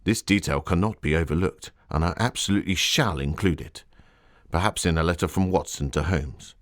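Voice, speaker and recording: An actor playing a Victorian gentleman author, narrating his travels in a formal, literary, theatrical manner. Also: low voice, fairly quick, lightly acted. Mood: fascinated, grave. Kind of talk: scripted dramatic narration.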